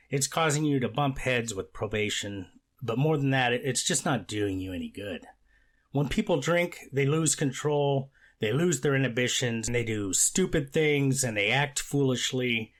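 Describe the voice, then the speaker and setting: A man playing a stern but paternal judge, speaking formally and deliberately in a court transcript reenactment. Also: medium pitch, close to an everyday voice. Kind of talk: amateur readers theater court transcript reenactment.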